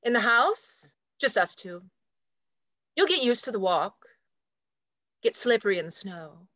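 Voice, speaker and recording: A woman's voice: a little high, very animated, unhurried, bright, forceful tone, no room sound, full character voice. A woman playing a prim, territorial junior executive assistant in a stage play reading, with a precise, officious, matter-of-fact delivery. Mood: curt, officious. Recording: dry video call.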